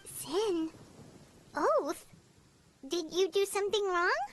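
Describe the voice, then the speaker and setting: High-pitched, earnest young granddaughter. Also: female voice, expressive, takes it slowly, full character voice. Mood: worried, puzzled. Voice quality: high, childlike. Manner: earnest, emotional. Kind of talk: dramatic video game dialogue.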